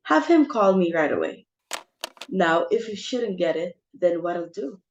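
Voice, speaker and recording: A woman's voice: lowish voice, lively intonation, a little slow, muffled recording, a little hiss or hum. A woman playing a bossy, social-climbing society mother with theatrical exclamations in an amateur stage comedy reading. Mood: brisk, bossy.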